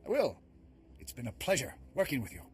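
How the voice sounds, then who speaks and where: An actor playing a brisk, imperious Republic official in a video game, with a husky, authoritative voice. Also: male voice, medium pitch, huge pitch swings, slight background noise. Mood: firm.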